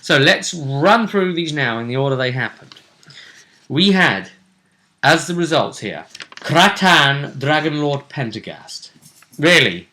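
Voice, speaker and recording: A man hosting a casual recap of a tabletop role-play tournament, telling it like a story with deliberate phrasing. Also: fairly high pitch, animated, bright, forceful tone. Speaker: host.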